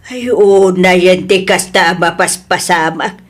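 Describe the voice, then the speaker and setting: A woman acting a concerned, exasperated family elder and friend in an audio drama, with an Ilocano accent and an expressive delivery. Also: lowish voice, measured pace. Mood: worried.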